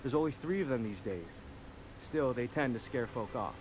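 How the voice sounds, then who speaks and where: A man's voice: mid-range voice, expressive, moderate pace, music in the background, lightly acted, low-quality recording. An actor playing an eager, folksy young male town greeter who chats freely and is keen to please. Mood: friendly. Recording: game audio with background ambience noise.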